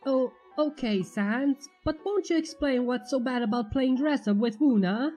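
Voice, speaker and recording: A woman acts out an eager male skeleton from a fan fiction story. The voice is high and soft-edged, easily startled and childlike in its enthusiasm. He exclaims often and stammers when unsure. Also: normal speed, faint music behind. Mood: hesitant, puzzled. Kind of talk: acted fan fiction reading.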